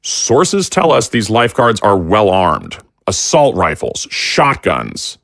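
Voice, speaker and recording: A man as a hawkish general, deep voice, dry close sound, measured and ominous. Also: huge pitch swings, creaky, fairly bright tone, no room sound, full character voice. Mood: grave. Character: grave hawkish army general, middle-aged man.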